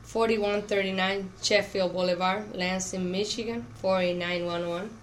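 A woman's voice: mid-range voice, steady tone, moderate pace, poor audio. A middle-aged woman from Cuba, a Spanish speaker, answering questions in an oral history interview. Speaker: interviewee, auto plant worker. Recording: clear background noise.

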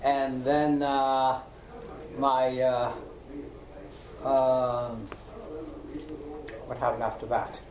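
An older man from Austria, a native German speaker, recalling his life story in a halting way with repeated words, recorded in his home kitchen. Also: mid-range voice, flat delivery, roomy sound, background noise. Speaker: interviewee. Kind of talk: oral history recollection.

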